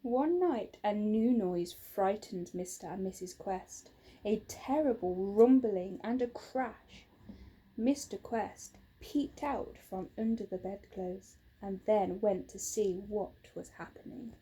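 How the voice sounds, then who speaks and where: A woman narrating a children's storybook in a measured, expressive way. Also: medium pitch, husky, low-quality recording. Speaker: storybook reader.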